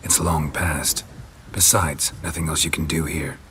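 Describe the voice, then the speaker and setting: A man voicing a stoic, blunt, world-weary monster hunter in a video game, terse and deadpan with a gravelly voice. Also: extremely low pitch, measured pace, slight background noise, heavily acted. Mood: stern, blunt. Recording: loud music bed.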